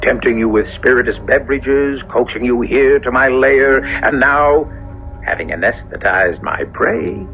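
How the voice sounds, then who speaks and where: An actor playing a devil-possessed young minister's son in a 1970s radio horror drama, with a suave, teasing, sardonic delivery. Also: male voice, medium pitch, unhurried, close to an everyday voice. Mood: mock-villainous. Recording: dull, noisy old radio broadcast.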